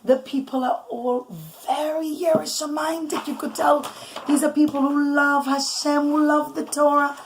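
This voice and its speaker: A mother telling an informal, improvised religious bedtime story in an animated, conversational way, mixing in Hebrew and Yiddish terms. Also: high-pitched, normal speed. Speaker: mother telling bedtime stories to children and listeners. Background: noise, clicks, small children nearby.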